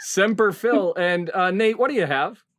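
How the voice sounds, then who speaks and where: A man's voice: sits high, moderate pace, fairly bright tone. A middle-aged man playfully hosting a game show over a video call from indoors. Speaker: quiz show host.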